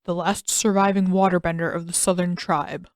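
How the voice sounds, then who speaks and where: A woman voicing a calm, measured older uncle, a diplomatic advisor, in an audiobook. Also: fairly low pitch, no echo, clean studio sound, natural voice. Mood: calm, persuasive. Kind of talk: audiobook character voice.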